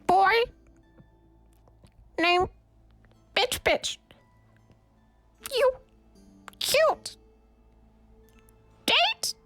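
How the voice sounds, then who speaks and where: Squeaky, excitable radio-drama monster, very high and sharp, broken one-word bursts. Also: female voice, very slow, pauses often, soft background music, heavily acted. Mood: excited, insistent.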